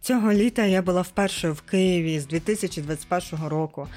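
A woman's voice: on the low side, fast talker, darkish tone. A woman narrates a personal audio essay in a reflective storytelling way. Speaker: podcast narrator. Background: background music.

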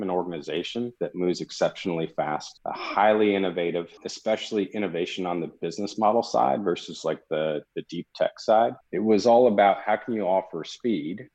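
Low husky-voiced man, casual interview talk. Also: darkish tone. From Europe. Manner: fast, conversational, frequent restarts. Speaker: guest executive. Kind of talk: podcast interview.

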